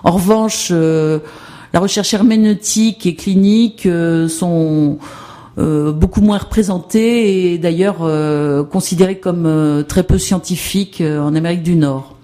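A woman's voice: lowish voice, measured pace, mellow. A woman giving an academic presentation on education research, hesitant and full of fillers and restarts.

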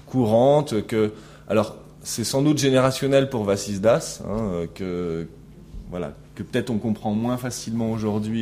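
A middle-aged man teaches a class in a low, mellow voice. He talks haltingly, restarting his phrases and filling pauses as he puts questions to the room. A faint hum sits under the recording. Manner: hesitant, many fillers and restarts, asks the class questions. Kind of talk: classroom lecture analyzing a recorded interaction.

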